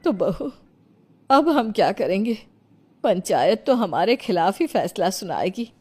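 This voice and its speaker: A woman voicing a gentle, anxious village mother-in-law in a cartoon story. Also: mid-range voice, very animated, a little slow, breathy edge, faint music behind. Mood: anxious, concerned.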